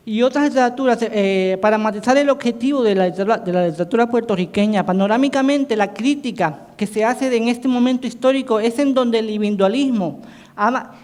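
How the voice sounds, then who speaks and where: A man whose first language is Portuguese gives an academic conference presentation as a panelist, talking haltingly with many restarts and self-corrections. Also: high voice, darkish tone. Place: conference hall. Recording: reverberant hall sound.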